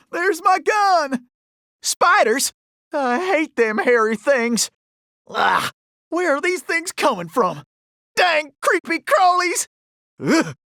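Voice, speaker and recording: Cartoon game cowboy raccoon: cocky folksy gunslinger, American Southern drawl, very animated delivery, dry studio sound. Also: male voice, extremely high pitch, no room sound, heavily acted. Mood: disgusted, uneasy. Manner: folksy, drawling, boastful, whooping cowboy talk. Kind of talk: cartoon video game voice acting.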